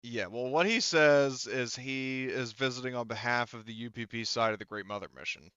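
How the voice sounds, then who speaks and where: Halting male game master, smooth dry sound. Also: mid-range voice, sharp tone, dry recording, dull audio. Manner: halting, rambling, thinking aloud. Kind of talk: improvised tabletop game narration, casual.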